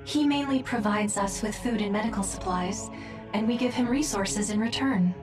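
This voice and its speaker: A woman playing a courteous temple attendant in an English game dub, speaking in a measured, deferential way. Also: mid-range voice, distant mic, over music, natural voice. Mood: formal. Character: formal, courteous female temple attendant greeting guests.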